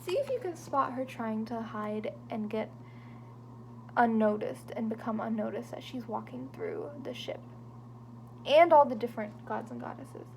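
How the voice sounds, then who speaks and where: A fairly high-voiced woman reads a picture book aloud to children, keeping her voice animated and dropping into hushed whispers at the suspenseful moments. She breaks off for friendly asides to her young listeners, and a faint hum sits behind her. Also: rough recording. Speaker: librarian reading to children.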